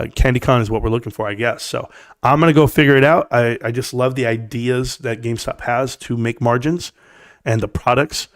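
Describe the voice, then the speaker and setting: A man's voice: medium pitch, no room sound, clean studio sound. A middle-aged man with a scratchy voice, giving a blunt, emphatic, opinionated stock rant to viewers on a livestream. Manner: blunt, emphatic, talks straight to the chat. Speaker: stock market live streamer.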